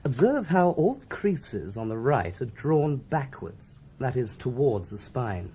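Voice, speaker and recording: British police doctor, husky, very animated intonation, pedantic, relishing the details, radio crime drama. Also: male voice, medium pitch, measured pace. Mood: pleased, instructive. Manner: didactic, precise, self-satisfied. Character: brisk, relishing male forensic doctor, theatrically pedantic.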